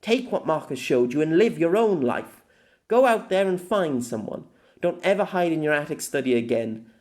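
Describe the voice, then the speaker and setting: A man narrates as a long-lived, world-weary empress in an audiobook. The delivery is formal and measured, with dramatic pauses and a tender, wistful temper. The pitch sits slightly high. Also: animated, darkish tone, natural voice. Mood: fond, regretful. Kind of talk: scripted audiobook narration of a letter.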